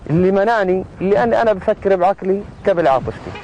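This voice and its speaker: High-pitched young man talking very fast. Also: expressive, darkish tone. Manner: reflective, thinking aloud. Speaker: interviewee. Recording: dull lo-fi audio, faint noise.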